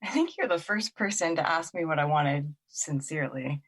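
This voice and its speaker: A woman's voice: low voice, animated, normal speed. Woman playing a wary, vulnerable young woman. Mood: tentative, hopeful. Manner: casual, hesitant, slangy. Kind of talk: audio drama acting.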